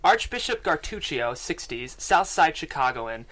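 A man's voice: a little high, sing-song, measured pace, fairly bright tone. A man reading screenplay stage directions aloud as a narrator, close to a studio microphone. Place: small podcast studio. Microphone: studio microphone on desk.

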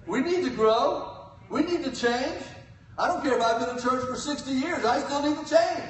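An older man preaching a sermon from a church pulpit, swinging between loud cries and quiet asides. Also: very high-pitched, moderate pace. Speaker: guest preacher. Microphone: pulpit mic, filmed from the pews. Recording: echoey church from far off.

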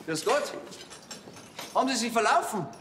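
A man's voice: extremely high pitch, expressive, normal speed, fairly bright tone, slight background noise. A man playing an indignant pig farmer with a Bavarian accent, grumbling and defensive. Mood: wary.

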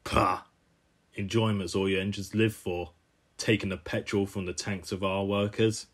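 A man's voice: fairly low pitch, little pitch movement, normal speed, voice pushed down, lightly acted. A man voicing a cartoon bus, a bitter revolutionary who rants pompously. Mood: bitter, scornful. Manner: ranting, pompous. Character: bulky old-fashioned bus, ranting bitter revolutionary.

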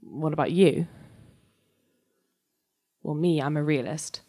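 A young adult woman giving a live, scripted dramatic reading of fiction on stage into a microphone, moving between measured narration and conversational dialogue. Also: low voice, dark tone. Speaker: reader of a short story. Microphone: handheld-type microphone on a stand, close.

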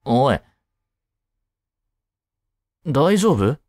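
A man's voice: medium pitch, very animated, dry recording, studio quality. A man playing an easygoing, caring teenage boy, a childhood friend, in a romantic audio drama, speaking casually and reassuringly to the listener. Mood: concerned, supportive. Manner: casual, gently reassuring, slow with pauses.

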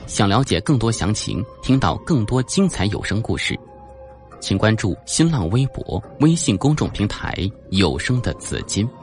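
A man's voice: fairly low pitch, big pitch swings, moderate pace, a little mellow, soft background music. A man giving a scripted narration of a suspense novel as an audiobook narrator.

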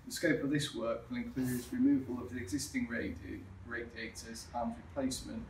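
A man's voice: medium pitch, little pitch movement, moderate pace. Man touring a building site, echoey hall. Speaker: architect giving a site tour. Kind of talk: scripted walkthrough presentation. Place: large echoey hall under renovation, indoors. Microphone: camera mic from a few metres away. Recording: roomy echo, distant mic, clear background noise.

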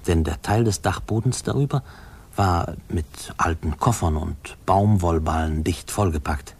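A reflective, uneasy storyteller looks back on boyhood in an old radio play. His voice is low and husky. He narrates intimately, with hushed, halting phrases. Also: heavily acted. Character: reflective man recalling his fearful, spying boyhood.

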